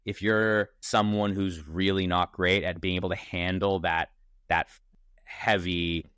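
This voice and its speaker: Deep-voiced founder in his thirties. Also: unhurried, clear, resonant tone, no echo, studio quality. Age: 30s. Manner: energetic, thinks aloud with many restarts and fillers. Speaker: startup founder, podcast guest. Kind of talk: casual interview conversation. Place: Toronto.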